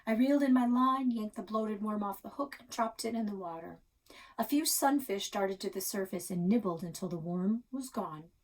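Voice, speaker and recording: A woman reading a children's novel aloud in an expressive storytelling style. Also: medium pitch, normal speed, low-quality recording. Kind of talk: expressive read-aloud narration.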